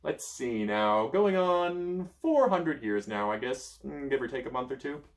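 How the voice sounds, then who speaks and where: A man's voice: mid-range voice, animated, measured pace. A man voicing a grouchy, boastful old male wizard stuck in a bush, reading a storybook aloud. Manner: pompous, cranky, sneezy.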